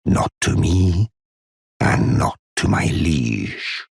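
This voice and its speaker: An actor playing an aged giant blacksmith and war counselor in a video game, solemn, courteous and devoted, with a gravelly voice and formal, archaic phrasing. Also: male voice, deep voice, expressive, drawn-out words, no echo, studio quality, full character voice. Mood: stern. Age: older.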